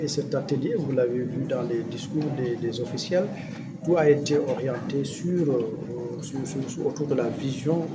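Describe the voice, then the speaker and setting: A man with a Senegalese accent giving an unscripted, formal and didactic statement to the press as an official. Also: mid-range voice. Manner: formal and didactic, posing and answering his own questions. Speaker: government official. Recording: loud background noise, event ambience.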